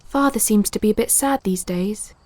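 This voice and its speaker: A woman's voice: mid-range voice, moderate pace, darkish tone, dry recording, natural voice. An actress playing a cheeky teenage warrior girl in a fantasy audio drama. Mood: concerned.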